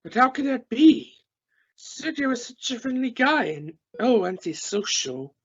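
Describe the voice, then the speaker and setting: A man's voice: very high-pitched, sing-song, a little slow, slightly rough, dull audio, heavily acted. A man playing an exasperated, suspicious space crewman in an amateur radio drama, questioning emphatically. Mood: puzzled, probing. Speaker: amateur radio play actor.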